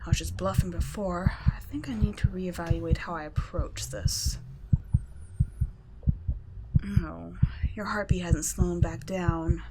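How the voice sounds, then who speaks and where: A woman's voice: lowish voice, measured pace, pauses often, heavy background noise. A woman playing a whispery, mocking vampire scientist. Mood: clinical. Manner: soft, often whispered, mocking and condescending. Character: cold, teasing female vampire scientist captor. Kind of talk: ASMR roleplay audio drama.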